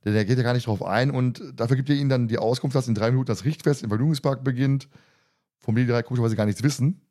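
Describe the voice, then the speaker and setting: A man's voice: medium pitch, fast talker, mellow. A man hosting a casual podcast discussion, recapping and commenting in a colloquial, teasing, joking way. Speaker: podcast host.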